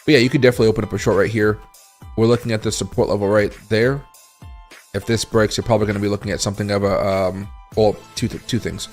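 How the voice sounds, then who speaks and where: A tired man with a raspy voice hosting a casual crypto livestream, thinking aloud as he reads charts and answers chat. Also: lowish voice, steady tone, fast talker, faint music behind. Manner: rambling, thinks aloud while scrolling charts and reading chat.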